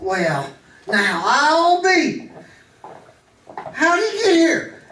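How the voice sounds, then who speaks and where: A man's voice: extremely high pitch, very animated, drawn-out words, pushed and bright, full character voice. A man playing a simple, good-natured sidekick in a radio western, folksy and stammering. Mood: puzzled. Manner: folksy, stammering, repeats words. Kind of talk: old-time radio drama acting on stage. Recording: echoey live stage, distant, faint noise.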